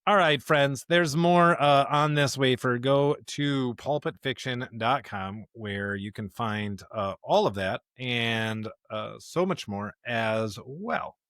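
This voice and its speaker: A man co-hosting a podcast in casual conversation, talking emphatically in digressive, halting phrases. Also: medium pitch, fairly bright tone, no echo, studio quality. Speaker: podcast co-host.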